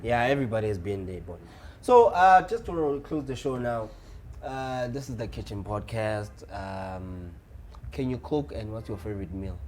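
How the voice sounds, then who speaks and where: A man's voice: fairly low pitch, expressive, normal speed, a little mellow, a little hiss or hum. A young man with a South African accent hosting a casual podcast, playful and teasing, switching between languages as he asks questions. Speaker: podcast host. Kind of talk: casual interview chat.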